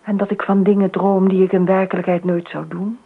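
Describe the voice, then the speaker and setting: Dreamy detached murderess wife in old radio play, husky, muffled phone-like sound. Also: female voice, mid-range voice, flat delivery, brisk. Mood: dreamy, musing. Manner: murmurs asides to herself, often near whispering. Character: dreamy married woman, eerily detached murderess, increasingly panicked.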